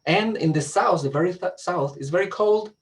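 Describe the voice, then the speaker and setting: A man's voice: sits high, normal speed, recorded from a distance. A man from Chile with a Chilean Spanish accent, whose first language is Spanish, giving a casual, friendly talk as a guest on a video call, full of fillers and restarts. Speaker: guest astronomer.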